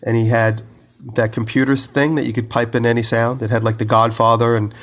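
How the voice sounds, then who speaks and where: A man with a head cold, his voice nasal and congested, chatting on a podcast. He talks fast and rambles from one story into the next at an everyday pitch. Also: flat delivery, a bit bright. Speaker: podcast co-host. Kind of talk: casual chat.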